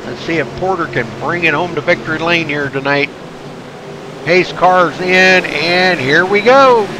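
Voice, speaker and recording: Male race caller, stuffed-up nose, higher-pitched, folksy and excitable, noisy broadcast feed. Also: a little slow. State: stuffed up from allergies. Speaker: race commentator. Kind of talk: live race play-by-play commentary.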